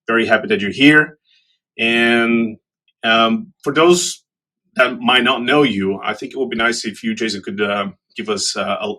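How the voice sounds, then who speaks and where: A male podcast host with a middle-pitched voice opens the show and greets his guest. He talks politely and stops now and then on small fillers. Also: bright, forceful tone.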